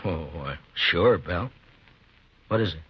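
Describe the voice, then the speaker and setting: An American actor playing a steady, weary frontier marshal in a 1950s radio western, measured and understated in dialogue and narration. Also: male voice, low-pitched, slightly rough, exaggerated voice. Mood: gentle, tired. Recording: dull 1950s radio recording with music.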